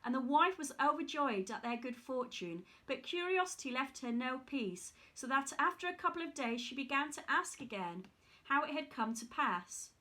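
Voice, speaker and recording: A woman reading a fairy tale aloud in a careful, steady way with small slips. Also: high-pitched. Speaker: reader.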